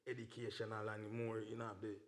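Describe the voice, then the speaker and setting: A man's voice: lowish voice, completely flat delivery, a little fast. A Jamaican man, a dancehall artist, chatting casually in an interview in slangy, emphatic talk peppered with "you know".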